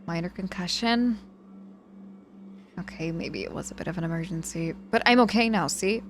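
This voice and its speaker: A woman acting a proud, guarded young witch hurt in hospital, halting and grudgingly tender, in an intimate ASMR audio roleplay. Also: fairly low pitch, a little hiss or hum, natural voice. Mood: flustered, insistent.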